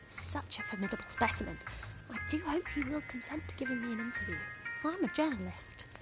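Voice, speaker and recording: A woman performs a genteel mouse journalist for a cartoon game. Her voice is on the high side, polite and gushing in a very proper way. Loud music nearly covers her. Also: lively intonation, quick pace, lightly acted, rough recording. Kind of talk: cartoon video game voice acting.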